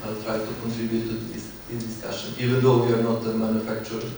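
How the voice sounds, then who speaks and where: Low, even-voiced Serbian-accented man. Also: fast talker. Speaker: panelist, telecom strategy manager. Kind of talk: self-introduction at a conference panel. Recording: distant echoey hall microphone, clear noise.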